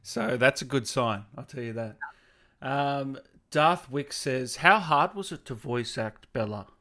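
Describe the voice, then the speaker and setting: A man's voice: medium pitch, a little slow, pushed and bright. Man hosting a casual gaming interview. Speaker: interviewer, gaming channel host. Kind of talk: casual interview, reading viewer questions. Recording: video call.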